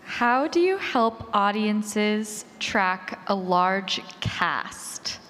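A woman's voice: medium pitch, lively intonation, very slow, a bit bright. A woman moderating a live panel, putting questions to the speakers. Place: live convention panel in a hall. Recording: echoey hall, clear ambient noise.

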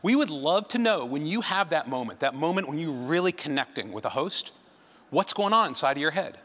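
A man's voice: on the high side, big pitch swings, brisk, a bit bright. A man giving a conference presentation in a conversational way, often doubling back on his phrases. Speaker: conference speaker, advertising researcher. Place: large room, live event. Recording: reverberant hall sound.

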